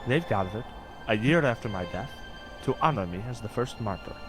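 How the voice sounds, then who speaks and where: A man's voice: fairly low pitch, expressive, a little fast, lightly acted. Man playing a kindly, bookish saint, music behind. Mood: informative, cheerful. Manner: explanatory, gently pedantic. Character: young male saint, kindly, bookish, gently wry guide. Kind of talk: children's audio drama acting.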